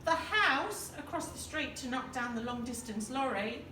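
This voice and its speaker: A woman reading a children's picture book aloud like a schoolteacher, with expressive, deliberate dramatic emphasis. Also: high-pitched. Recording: echoey, distant mic, noticeable background noise.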